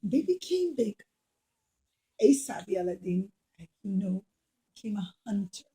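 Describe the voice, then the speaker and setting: A woman's voice: medium pitch, sing-song, unhurried, mellow, rough recording. A woman telling a puppet-show story to children in a teacherly way, addressing them directly with dramatic emphasis.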